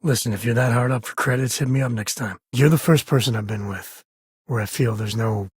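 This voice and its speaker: A man playing an easygoing, wry space-faring rogue and protective father in a video game, with a husky, rough-edged voice and a casual, folksy manner. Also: medium pitch, fairly quick, no echo, studio quality. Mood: warm, candid. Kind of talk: video game character voice lines.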